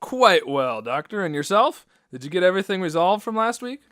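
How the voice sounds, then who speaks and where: A male announcer and host of a radio drama, with a fairly high, very lively voice. His scripted delivery is polished and theatrical, recorded close and dry. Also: brisk, no echo.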